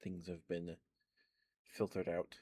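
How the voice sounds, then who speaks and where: A man chatting softly and rambling with many fillers into a close mic with a fuzzy cover, as an ASMR streamer at home. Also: deep voice, completely flat delivery, slow, slightly rough, no room sound. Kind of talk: soft rambling ASMR chat.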